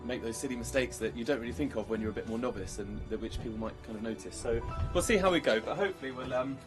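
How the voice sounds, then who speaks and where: A man's voice: mid-range voice, quick pace, over music. A man giving an interview to camera, nervous and hesitant. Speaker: contestant.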